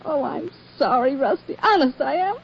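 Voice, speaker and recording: A woman's voice: high voice, animated, moderate pace, gravelly. A woman playing a lonely, apologetic young American woman who speaks hesitantly in an old-time radio drama. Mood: sheepish, pleading. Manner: hesitant, stammering. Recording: dull lo-fi old radio broadcast.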